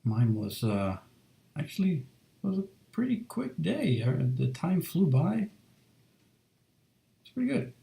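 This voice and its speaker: A man in his thirties talks easily to his viewers while sketching, with a dark, mellow voice and a gravelly edge. His chat is loose and full of fillers, and the sound carries the echo of a roomy home studio. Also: a little high. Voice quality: soft, relaxed and unhurried. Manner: chatty, lots of fillers, reads chat. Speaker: streamer drawing fan art. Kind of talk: casual live-stream chat while drawing.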